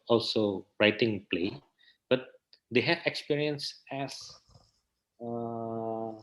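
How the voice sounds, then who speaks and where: A man's voice: mid-range voice, low-quality recording. A man with an Indonesian accent giving thoughtful interview answers, hesitant and often searching for words as he repeats phrases. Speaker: interview guest, theatre researcher and festival director.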